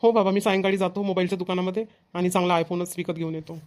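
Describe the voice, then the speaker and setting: Man acting an eager, fast-talking young bridegroom. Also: high voice, flat delivery, a little mellow, close to an everyday voice. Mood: earnest, dutiful. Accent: Vidarbha Marathi. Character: eager young village bridegroom, a dutiful son. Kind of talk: amateur cartoon dialogue.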